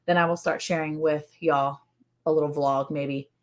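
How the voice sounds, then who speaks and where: A woman's voice: deep voice, steady tone, moderate pace. Chatty woman in her forties. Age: 40s. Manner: chatty, teasing, greets viewers by name. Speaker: co-host of a ranch livestream. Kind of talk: casual livestream chat.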